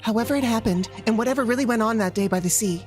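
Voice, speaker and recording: A woman voicing a reassuring young woman assistant to a lawyer, speaking in a gentle, steady way. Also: a little high, quick pace, over music, pitched above the performer's own voice, close to an everyday voice.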